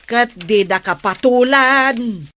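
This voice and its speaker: A woman's voice: on the high side, slow pace, fairly bright tone. A woman with an Ilocano accent playing a nagging, plain-spoken village wife in a radio comedy drama. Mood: scolding.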